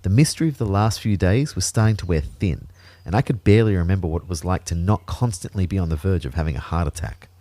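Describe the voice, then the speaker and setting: Anxious everyman narrator, deep voice, understated, wry, sci-fi audio drama, dry close sound. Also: fast, mellow, no room sound. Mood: wry, uneasy. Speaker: narrator and lead actor. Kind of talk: scripted first-person audio drama narration.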